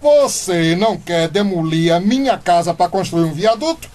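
A man's voice: sits high, expressive, normal speed, a little mellow. A man acting in a radio soap comedy as a brash, scornful and evasive small-town mayoral candidate, blustering and mocking.

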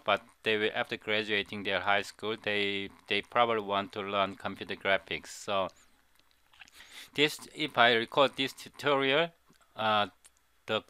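A man's voice: fairly low pitch, bright, forceful tone, clear, resonant tone, no room sound. A middle-aged man, a native Korean speaker, gives a coding tutorial over a screen recording, often repeating and restarting his words. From South Korea. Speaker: programming tutor.

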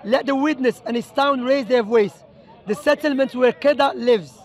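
A man with a very high voice argues insistently in a busy outdoor park, often repeating words and reading scripture aloud. He is picked up on a handheld camera close by, with a crowd murmuring around him. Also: normal speed. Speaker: street preacher debating a passer-by. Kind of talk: street debate. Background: crowd chatter.

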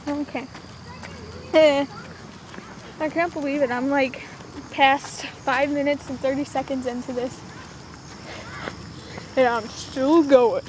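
A woman's voice: sits high, steady tone, takes it slowly, pauses often. A teenage girl chatting casually and rambling as she vlogs on a walk home, filming with a phone at arm's length. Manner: chatty, rambling, giggly asides. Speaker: vlogger. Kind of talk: casual walking vlog. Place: outdoors on a suburban road. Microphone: phone camera held at arm's length. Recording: phone audio with clear outdoor noise.

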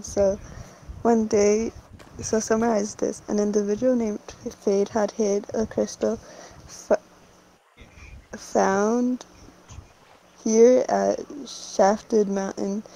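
A hesitant female company owner , voiced by a woman in a fan-made game story. Her voice is mellow, flat and unhurried. She stops and restarts often as she speaks. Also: medium pitch, gravelly, a little hiss or hum, rough recording. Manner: hesitant, halting speech with fillers and nervous laughs.

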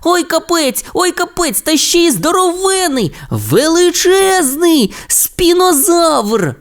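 Narrator squealing as an excitable little dinosaur. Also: sits high, moderate pace, voice pushed up, lightly acted. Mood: alarmed.

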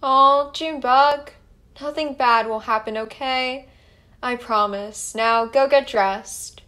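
A woman playing a patient but firm mother, soothing and gently scolding, in an amateur doll stop-motion story. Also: high voice, slow pace, full character voice. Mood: reassuring. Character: patient, firm, caring mother of two daughters.

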